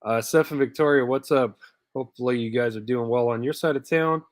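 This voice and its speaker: A man's voice: medium pitch, brisk, no echo, studio quality. Stuffy-voiced man hosting a live stream. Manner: laid-back, chatty, greets viewers by name with little laughs. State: stuffy from a head cold. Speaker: host of a live diecast car stream. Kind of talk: casual live-stream chat, reading out viewer comments.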